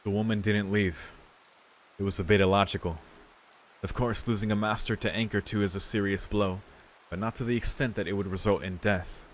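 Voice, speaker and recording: A man with a fairly low voice reads a visual novel aloud in the casual way of a streamer. Rain from the game and slight noise sit behind his voice. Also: steady tone, brisk. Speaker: video game streamer reading along.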